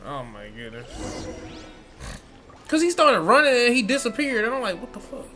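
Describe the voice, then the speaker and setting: A man's voice: high voice, huge pitch swings, normal speed, pushed and bright. A man giving casual, reactive gameplay commentary as a streamer, informal and easily exasperated. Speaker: game streamer. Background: game music.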